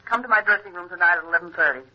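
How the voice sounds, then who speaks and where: An actress playing an anxious, self-important stage star, speaking with urgent insistence in a 1950s radio drama. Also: female voice, lowish voice, very fast, close to an everyday voice. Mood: anxious, insistent. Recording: muffled vintage radio telephone voice.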